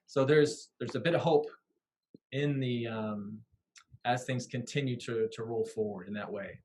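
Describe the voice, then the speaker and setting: A man speaks as a panelist on a video call through a headset. He talks in a thoughtful, measured way and pauses to find his words. His pitch is ordinary for a man. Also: darkish tone, slight background noise. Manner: thoughtful, with many restarts and fillers.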